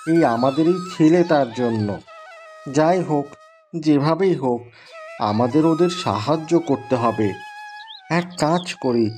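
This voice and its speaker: A kind-hearted, helpful husband from a cartoon fable played by a man. His mellow, slightly high voice swings with lively expression over faint music. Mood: resolved. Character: kind-hearted poor tailor and helpful father mouse. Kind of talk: animated cartoon acting.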